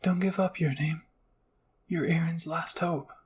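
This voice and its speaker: A man's voice: fairly high pitch, fairly even intonation, measured pace, slight background noise, natural voice. A man voicing the spirits of fallen squad mates, earnest and urging, in a dramatic fan fiction roleplay. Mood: pleading.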